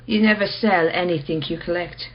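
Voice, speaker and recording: An actress playing an earnest, quietly challenging woman in a radio play, speaking in a measured, persistent, questioning manner. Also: lowish voice, fairly even intonation, highs cut off, close to an everyday voice.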